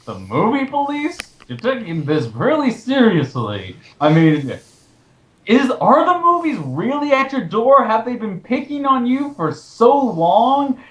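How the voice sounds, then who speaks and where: A man's voice: very high voice, wildly swooping pitch, a little slow, rough recording. Sarcastic man hosting movie commentary. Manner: sarcastic, incredulous. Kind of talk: casual commentary with read-out quotes.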